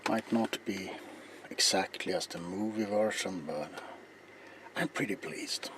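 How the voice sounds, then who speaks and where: A middle-aged man hosts an ASMR craft video in a low, hushed voice. He talks very slowly and haltingly, often dropping to a whisper. Clear background noise sits behind him in a small indoor room. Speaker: ASMR video maker and host. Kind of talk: ASMR, softly spoken craft demonstration to viewers. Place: small cluttered indoor workshop.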